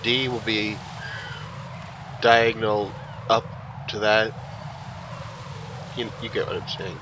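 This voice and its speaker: A man giving low-key, halting, explanatory commentary as he plays a video game. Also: steady tone, noisy background. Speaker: let's play host. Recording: loud game music behind commentary.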